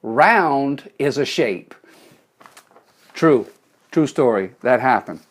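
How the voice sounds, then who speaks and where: A middle-aged man from South Louisiana speaks with a thick Cajun accent in a middle-pitched voice. He talks into his phone on a live stream, folksy and joking, greeting viewers by name between his tips. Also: animated, slow pace. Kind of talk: live motivational talk to viewers.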